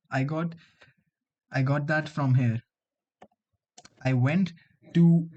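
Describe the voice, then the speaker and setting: A somewhat high-pitched young man with an Indian accent, a native Hindi speaker from Delhi. He talks to his stream viewers in loose, bantering slang, dropping "bro" often. The sound is slightly dull and lo-fi. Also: a little mellow. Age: 20s. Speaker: livestream host, student coder. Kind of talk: casual livestream chat with viewers.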